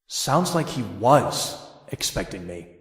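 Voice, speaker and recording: Casting: tough young comic-book antihero, lively dry delivery, cocky wisecracks, reverberant sound. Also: male voice, mid-range voice, slow. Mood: sardonic, guarded. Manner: wry, wisecracking, dry asides. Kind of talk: motion comic dialogue and first-person narration.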